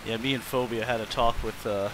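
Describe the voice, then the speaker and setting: A man chatting casually on a game stream in a halting, informal way. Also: medium pitch, steady tone, background noise. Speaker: guest on a game livestream. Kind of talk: casual chat.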